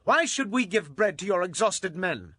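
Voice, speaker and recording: A man playing a stern, battle-weary Israelite war leader in a dramatized audio Bible, with forceful, declamatory delivery. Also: high voice, very animated, moderate pace, husky, dry recording, studio quality, full character voice. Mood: scornful.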